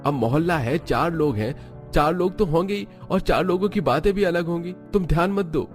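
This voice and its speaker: A man's voice: a little high, moderate pace, faint music behind, voice pushed up, natural voice. A man voicing an easygoing young husband in cartoon story dialogue. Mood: reassuring. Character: young husband, easygoing, talking to his wife.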